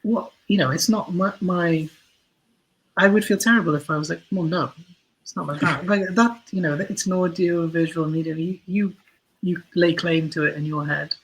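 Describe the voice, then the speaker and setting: A man's voice: a little high, fairly even intonation, moderate pace, slight background noise, low-quality recording. A man talking casually as a podcast guest about creating an audio drama, chatty and self-deprecating, often restarting his sentences.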